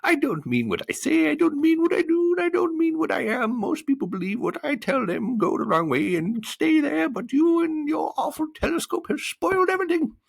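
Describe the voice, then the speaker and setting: A man's voice: extremely high pitch, big pitch swings, a little fast, gravelly, hardly any pauses, voice pushed up, exaggerated voice. A man voicing a small, furry, insecure demon from a storybook, whining and sobbing with self-pity. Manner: sobbing, whiny delivery.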